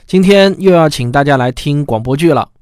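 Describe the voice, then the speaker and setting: A man's voice: a little high, expressive, fairly quick, a little mellow. A man hosting a science show, giving a scripted spoken introduction.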